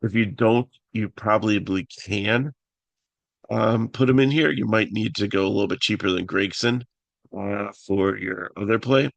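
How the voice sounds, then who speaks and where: Raspy low-voiced man, flat and gravelly. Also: dry recording. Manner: halting, many fillers and restarts. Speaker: fantasy sports analyst host. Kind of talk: casual solo picks talk.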